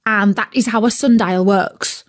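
A woman's voice: a little high, very animated, unhurried. A woman voicing an impatient, hungry male turkey who complains indignantly.

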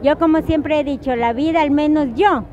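High-voiced Guatemalan woman in crowd. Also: moderate pace. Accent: Guatemalan Spanish. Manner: warm, grateful, sending blessings and greetings. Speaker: interviewee. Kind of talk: casual street interview. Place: busy city square outdoors. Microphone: clip-on mic, phone camera close. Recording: slightly dull sound, loud crowd behind. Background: crowd chatter.

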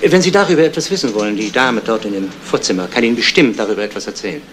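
An actor playing a discreet, wry male office official in a 1970s crime radio play, measured and hesitant, with insinuating phrasing. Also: lowish voice, fairly bright tone, slight background noise, lightly acted. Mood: wry, guarded.

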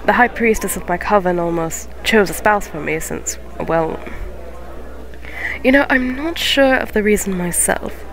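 A woman acts out a young neko witch running a fantasy tavern. She is gentle and motherly, with courteous, formal wording. Her soft ASMR roleplay delivery moves slowly, at an ordinary female pitch. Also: close to an everyday voice, low-quality recording. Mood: probing, sympathetic. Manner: soft-spoken, formal, unhurried phrasing. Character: gentle eighteen-year-old neko witch tavern keeper, motherly, polite.

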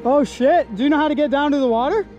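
A young man fly fishing outdoors while wading in a river, excitedly commenting on each catch into a body-worn action camera. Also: extremely high pitch, fast talker, muffled recording, faint music behind. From Canada. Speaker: fly-fishing angler and host. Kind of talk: casual outdoor vlog commentary.

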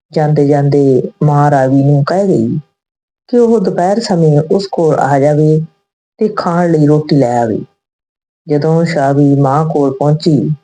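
Female storyteller, deep register, warm dark tone, for scripted emotional moral tales. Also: low-quality recording.

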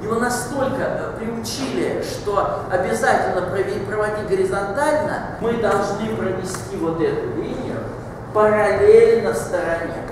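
An older man speaks as a teacher, explaining and correcting in a direct, instructive way. He is recorded from a distance in a very reverberant classroom, with clear noise around him. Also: sits high, normal speed. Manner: instructive, corrects bluntly with short commands. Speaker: mathematics teacher. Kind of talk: explaining a geometry problem at the blackboard. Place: classroom with a large blackboard. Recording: echoey hall, distant mic, noisy room.

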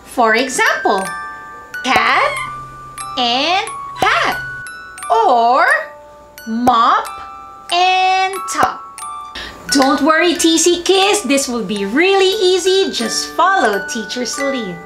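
A woman teacher talks to small children in a bright, high voice that swoops up and down. She speaks very slowly, in an encouraging sing-song. Music with a tambourine plays clearly behind her. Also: very animated. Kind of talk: children's lesson and storybook reading.

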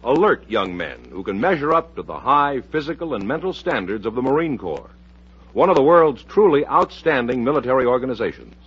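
A man's voice: medium pitch, big pitch swings, brisk, breathy edge. An American man announcing a scripted mid-century radio music show in a polished, promotional manner. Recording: dull, band-limited vintage radio recording.

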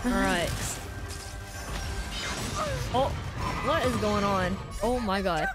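A young woman game streamer giving casual, excitable, expressive live reaction commentary. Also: medium pitch, slow. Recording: game music behind voice. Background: game music.

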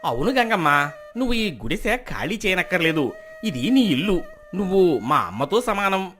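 A man acts out a crafty, miserly village husband from a storybook. His voice is fairly high and swoops with theatrical, emphatic false devotion. Faint music plays underneath. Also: big pitch swings, moderate pace, fairly bright tone. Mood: falsely generous.

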